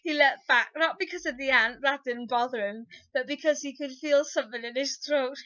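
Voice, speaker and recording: A woman's voice: high voice, normal speed, bright, forceful tone, dull audio. A woman narrating fan fiction as a comic, theatrical dramatic reading.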